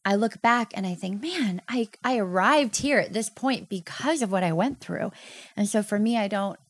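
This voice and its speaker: A woman's voice: mid-range voice, animated, normal speed, dry recording. A woman in her thirties, a podcast guest, telling her personal story in an earnest, heartfelt way. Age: 30s. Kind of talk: candid personal interview.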